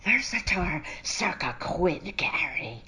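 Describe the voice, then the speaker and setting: An actress playing a vengeful, scornful woman in a radio mystery, speaking in a husky, menacing, contemptuous voice that often drops to a whisper. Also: lowish voice, sing-song, slow, bright, forceful tone, a little hiss or hum, full character voice. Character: vengeful, scornful woman tormenting her captive. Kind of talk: radio mystery theatre acting.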